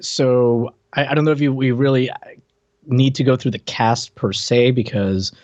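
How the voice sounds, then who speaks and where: Male podcast co-host, mid-pitched, wry informal TV banter, slightly muffled and very dry recording. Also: steady tone, moderate pace, darkish tone, dry recording. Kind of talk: casual chat about a TV show. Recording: dull, dry lo-fi microphone sound.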